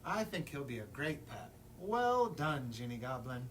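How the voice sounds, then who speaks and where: A man's voice: medium pitch, big pitch swings, unhurried. A man reading a children's picture book aloud as a librarian at story time, expressively, putting questions to young listeners. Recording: distant mic, room noise.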